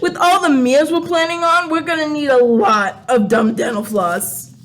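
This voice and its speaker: Woman as a high-pitched, sassy alien crayon. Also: moderate pace, close to an everyday voice. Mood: smug. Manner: snide, scolding. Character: sassy, mocking alien crayon renegade visiting Earth. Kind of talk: comedic radio play acting.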